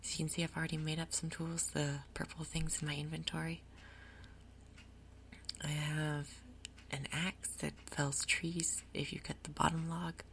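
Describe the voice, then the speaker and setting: Woman whispering low, soft ASMR game commentary. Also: vocal fry. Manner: gentle, unhurried, explanatory. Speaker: gaming video host.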